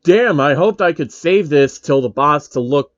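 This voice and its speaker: A man's voice: fairly high pitch, expressive, moderate pace, no echo, highs cut off, lightly acted. A man voicing a cocky young male swordsman hero with a casual, defensive manner in a fan fiction story.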